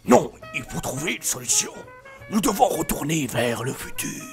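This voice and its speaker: A man voicing a frantic, eccentric old movie scientist, exclaiming and high-strung. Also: wildly swooping pitch, moderate pace, vocal fry, soft background music, heavily acted. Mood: alarmed.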